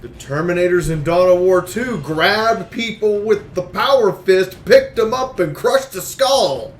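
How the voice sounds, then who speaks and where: A man's voice: high voice, lively intonation, unhurried, reverberant. A man giving opinionated game review commentary, speaking bluntly and emphatically and repeating phrases for stress.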